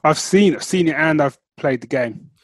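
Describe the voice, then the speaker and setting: Lively, higher-pitched man, slightly raspy. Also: normal speed, dry recording. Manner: curious, reacting and asking questions. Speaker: podcast co-host. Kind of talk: casual chat.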